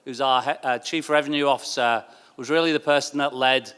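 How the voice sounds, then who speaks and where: A man giving a thank-you speech as a sports club executive, phrasing it in a halting way with repeated words. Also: mid-range voice, steady tone, a bit bright, clean tone. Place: large stadium venue. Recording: long echoing stadium PA reverb.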